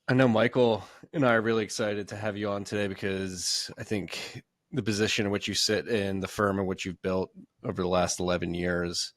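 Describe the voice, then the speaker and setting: A man hosting a podcast, introducing a guest in a relaxed, conversational way. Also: on the low side, a little fast, no echo, studio quality. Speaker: podcast host. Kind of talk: casual interview hosting.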